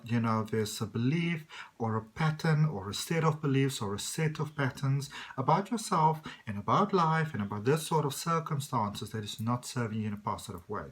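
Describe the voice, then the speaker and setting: Earnest spiritual coach in their thirties. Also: mid-range voice. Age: 30s. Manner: earnest and reassuring, with frequent "you know" and "okay". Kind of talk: motivational talk to camera.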